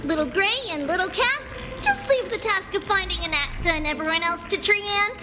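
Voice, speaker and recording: A woman's voice: very high voice, lively intonation, normal speed. A woman voicing a sweet, earnest little girl in a video game, with childlike phrasing. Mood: bright, eager. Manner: refers to self in third person, childlike phrasing. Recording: music under the voice. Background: background music.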